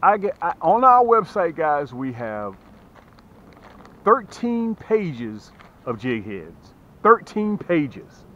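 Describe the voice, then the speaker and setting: A man hosting a fishing channel, giving a casual, folksy sales pitch to camera and repeating phrases for emphasis. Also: sing-song, slow, muffled recording. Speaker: fishing channel host and tackle seller.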